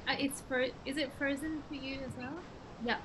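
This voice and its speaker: A woman speaking casually as an acting student in an online class, over rumbly video call audio. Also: steady tone, moderate pace, a bit bright, a little hiss or hum.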